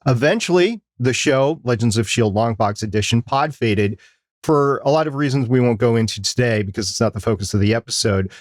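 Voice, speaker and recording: A man's voice: medium pitch, lively intonation, brisk, dry recording, studio quality. A man co-hosting a podcast, explaining things in an informal, conversational way. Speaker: podcast co-host.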